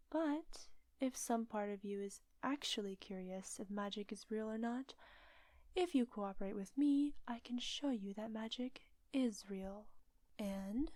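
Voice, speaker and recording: A woman playing a confident, teasing witch in an intimate audio roleplay, soft and breathy with frequent drops into a whisper. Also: on the high side, no echo, close to an everyday voice. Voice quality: breathy, often dropping to a whisper. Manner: teasing, coaxing, unhurried.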